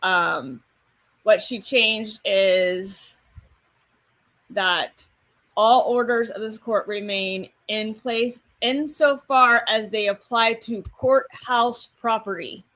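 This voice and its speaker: Woman cohosting a stream, bright sharp voice, lots of ums, kinda scattered. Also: medium pitch, slow, rough recording. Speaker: live stream co-host. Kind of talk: casual chat.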